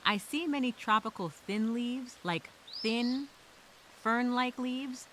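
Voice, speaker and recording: A woman playing a scared but determined castaway, speaking her survival journal as a halting, expressive dramatic monologue. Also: fairly high pitch, a little hiss or hum. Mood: focused, weary.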